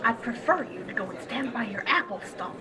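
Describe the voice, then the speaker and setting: A woman acting in a live radio play as a brisk, firm but kindly wife who runs a cinema. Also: fairly high pitch, lively intonation, a crowd in the background, natural voice. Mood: firm, exasperated. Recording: clearly noisy streamed audio, clicks.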